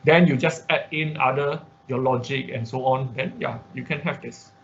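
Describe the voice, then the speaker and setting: A man's voice: mid-range voice, steady tone, normal speed, gravelly, a little hiss or hum. A man giving an informal step-by-step tutorial as an online class instructor, often checking in with short tag words. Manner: step-by-step explaining with frequent check words like okay and right. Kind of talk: informal screen-share tutorial. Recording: dull, lo-fi online-class audio.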